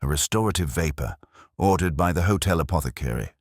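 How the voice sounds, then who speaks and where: A man voicing a nervous, defensive doctor in an audiobook, hurried and flustered. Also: rumbling low, expressive, no room sound, clean studio sound. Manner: stammering, hurried. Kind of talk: audiobook character acting.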